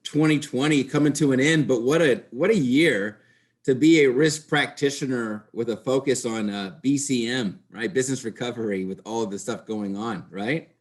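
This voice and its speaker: A man's voice: medium pitch, moderate pace. A man interviewing a guest conversationally, rephrasing his questions as he rambles along. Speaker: podcast host, interviewer.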